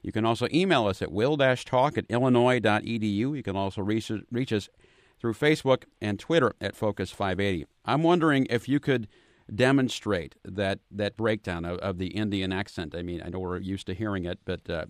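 A man hosting a radio talk show in a studio, introducing a guest and asking questions in a measured way. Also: on the low side, no room sound. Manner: measured, some restarts. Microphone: studio mic.

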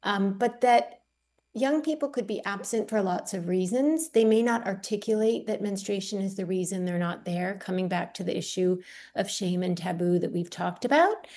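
A woman's voice: medium pitch, normal speed, a little mellow. A woman professor answers an interview question in an articulate, explanatory way.

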